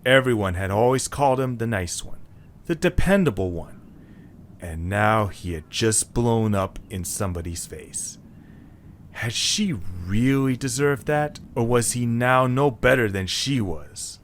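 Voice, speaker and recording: A man's voice: medium pitch, expressive, measured pace, a little hiss or hum. A man reading scripted narration as the narrator of a dramatic story.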